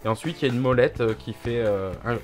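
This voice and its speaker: A man's voice: mid-range voice, fast talker, darkish tone, faint music behind. A man co-presenting in casual, colloquial, unscripted chat. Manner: informal, colloquial, agreeable. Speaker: co-presenter, host's friend.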